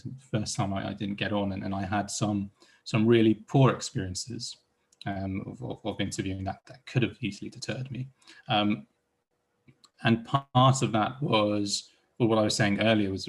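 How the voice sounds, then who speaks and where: A man's voice: lowish voice, vocal fry, husky. A man from Iran speaking on a panel as a psychologist, hesitant and full of repeated words and restarts. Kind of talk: panel discussion.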